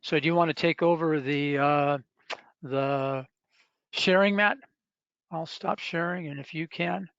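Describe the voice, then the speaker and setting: A man presenting a software product in a live webinar, explaining step by step with halting pauses. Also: on the high side, clean tone, no echo. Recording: dull, lo-fi webinar audio.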